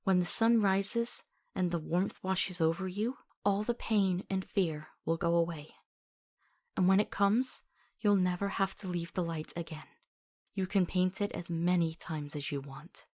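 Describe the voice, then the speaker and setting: Woman acting a low-voiced, compassionate young heroine. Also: steady tone, unhurried, a little mellow. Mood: gentle, soothing. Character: compassionate young female monster hunter's companion, earnest, stubborn. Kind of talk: visual novel voice acting.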